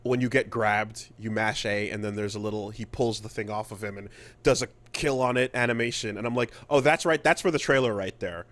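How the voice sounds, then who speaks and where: A man's voice: on the low side, a little fast, fairly bright tone. A man co-hosting a video game podcast, chatting casually and acting out what he describes. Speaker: podcast co-host.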